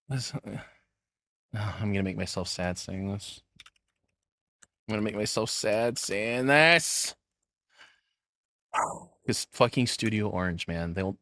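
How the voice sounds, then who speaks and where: A man's voice: lowish voice, a bit bright, dry recording. A man doing casual, rambling reaction commentary as a streamer, informal, profane and opinionated, speaking into a close microphone.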